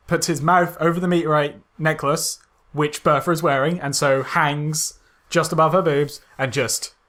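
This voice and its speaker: A man co-hosting a podcast, talking casually and jokingly about films, halting and repeating himself with a sardonic, agreeable manner. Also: a little high, fairly bright tone. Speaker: podcast co-host. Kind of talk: casual comedic film critique chat.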